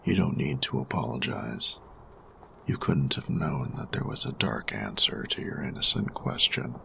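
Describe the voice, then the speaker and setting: A man playing a grumpy, reserved steampunk artificer in an audio roleplay, speaking formally and deliberately in a gravelly, breathy murmur. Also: rumbling low, expressive, sharp tone, slight background noise, exaggerated voice. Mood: somber, pained. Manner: formal, measured, deliberate phrasing. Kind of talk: scripted audio roleplay addressed to the listener.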